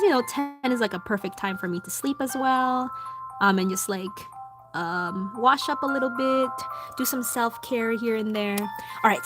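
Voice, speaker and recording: A young woman with a Filipino accent chatting casually with her livestream viewers while drawing, soft-spoken with lots of ums. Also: mid-range voice. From Philippines. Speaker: livestream host. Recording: background music. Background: music.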